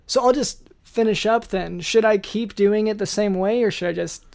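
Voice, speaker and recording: A man's voice: high voice, moderate pace, vocal fry, a little mellow. A man hosting a podcast, reading a medical lesson and chatting with hesitant stammers. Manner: reads dense medical terms with gasps for breath, then hesitant and stammering. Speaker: podcast host. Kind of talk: read medical lesson and casual chat.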